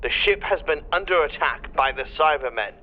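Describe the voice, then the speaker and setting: Desperate male crewman played by a man, slightly high, doomed distress call over a lo-fi, faintly noisy radio, sci-fi drama. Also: lively intonation, a little fast. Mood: desperate, afraid. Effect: radio transmission filter. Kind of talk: amateur sci-fi audio drama acting. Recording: noisy, thin radio transmission.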